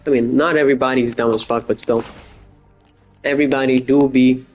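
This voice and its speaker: A young man with a faint Boston accent hosts a casual solo podcast, rambling in a crude, joking way with deadpan asides. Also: medium pitch, brisk, soft background music. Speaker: podcast host.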